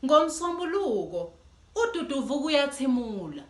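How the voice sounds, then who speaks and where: A woman reading a children's storybook aloud in an animated way as host. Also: high voice, normal speed. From South Africa. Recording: echoey room.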